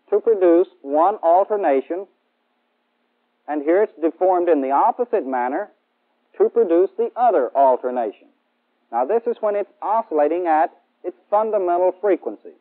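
A man's voice: high-pitched, phone-quality sound. An American man in his thirties giving a formal, methodical lecture as a military instructor in a 1960s training film. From United States. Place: indoor studio set. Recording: band-limited old 1960s film soundtrack.

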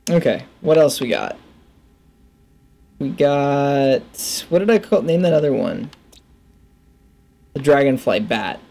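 Slow, halting man with mellow voice. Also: mid-range voice, animated. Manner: halting, muttering to himself as he thinks. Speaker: gaming video host. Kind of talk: casual gameplay commentary, naming discoveries aloud. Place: small indoor room at a computer.

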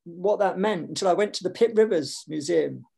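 An older man talking on a video call from his home, an animated and digressive speaker with many restarts in a reflective literary conversation. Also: high voice, moderate pace, creaky, slightly rough. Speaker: author in panel conversation. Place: home room with shelves.

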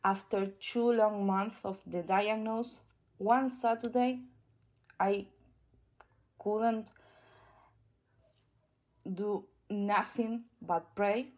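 A woman with an Argentine Spanish accent speaks English as a second language, unhurried and deliberate. She sounds nervous and a little shy, choosing her words with care as she tells her own story. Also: medium pitch, steady tone. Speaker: guest sharing a testimony. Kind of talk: personal testimony.

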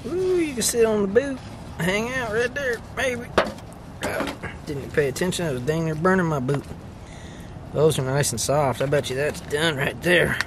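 A man in his thirties chats to the camera from inside a tent at night, casual and quick to exclaim. He cracks jokes as he goes. Wind and outdoor noise come through clearly behind him. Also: fairly high pitch. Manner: excitable, exclaiming, joking. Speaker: camping vlogger. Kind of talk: casual solo vlog narration.

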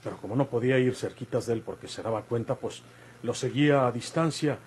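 A man's voice: mid-range voice, fairly quick, a little mellow, natural voice. An actor playing an older, rustic Mexican outlaw father, folksy and evasive, in an old radio drama. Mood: defensive, insistent. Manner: folksy, rural colloquial speech. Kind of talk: golden-age Mexican radio drama acting. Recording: noisy vintage radio recording.

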